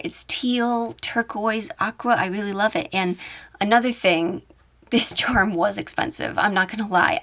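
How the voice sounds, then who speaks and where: A woman with a mid-pitched voice gives a casual show-and-tell. She is chatty and enthusiastic, talking like a video creator walking viewers through a personal collection. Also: a bit bright. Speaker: YouTube audio creator showing her collection.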